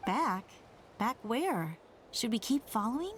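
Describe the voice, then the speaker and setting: Spirited young fighter girl from a game, kinda high, cheeky and eager. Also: sing-song, slow, slight background noise. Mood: teasing, curious. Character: spirited, cocky, playful young female healer fighter. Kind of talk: video game voice acting.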